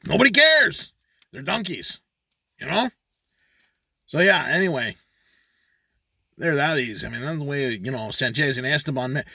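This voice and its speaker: A man's voice: on the high side, animated, normal speed, gravelly, long pauses, pushed and bright, no echo, studio quality, full character voice. A man voicing a crude, boastful male donkey handler, rambling about himself in the third person in a comic monologue. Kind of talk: comedic character monologue.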